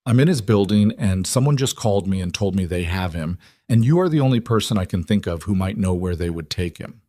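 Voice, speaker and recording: A man's voice: sits low, animated, brisk, dark tone. A man voicing an anxious but resolute young woman in an audiobook drama, speaking with urgency. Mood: urgent, tense.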